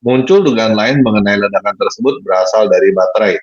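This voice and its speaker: Husky, low-voiced man reading news. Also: brisk, no echo, muffled recording. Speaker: news narrator and commentator. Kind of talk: scripted news narration.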